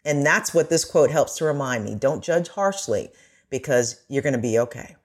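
A woman's voice: very deep voice, animated, moderate pace, slightly rough. A middle-aged woman, a journalist guest, speaking earnestly and emphatically in a conversational interview.

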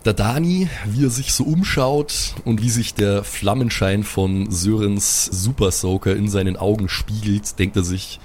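A man's voice: fairly low pitch, unhurried, few pauses, darkish tone. A man running a live improvised tabletop game as game master, explaining and narrating. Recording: faint noise with chirping insects.

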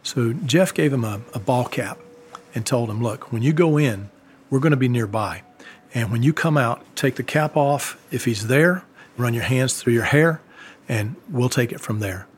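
A man, a former embassy security agent, recounting events in a measured, storytelling way with occasional fillers. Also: mid-range voice, expressive, slight background noise. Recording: music bed underneath.

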